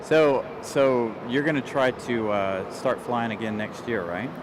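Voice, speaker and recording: A man hosting a livestream, chatting in a relaxed way and asking his guest questions. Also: mid-range voice, measured pace, background noise. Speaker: livestream host. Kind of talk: casual livestream chat.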